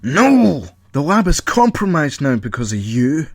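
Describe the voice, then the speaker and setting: Man doing a young inventor, hot-tempered, paranoid, raised pitch, loud indignant bursts. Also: sing-song, measured pace, no echo, full character voice. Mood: furious.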